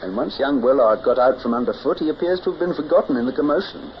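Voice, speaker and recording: An actor playing a thoughtful, discreet naval lieutenant with a measured, tactful manner in a 1950s radio drama. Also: male voice, mid-range voice, animated, lightly acted. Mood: musing, discreet. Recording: dull old radio recording, faint hiss.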